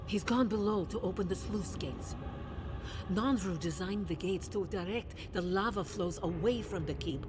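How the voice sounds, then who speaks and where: An actress playing an anxious, devout leader of an endangered keep, earnest and formal, in a video game cutscene. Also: female voice, close to an everyday voice. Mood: alarmed. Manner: earnest, urgent, emotive, formal. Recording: clear rumbling ambient noise behind.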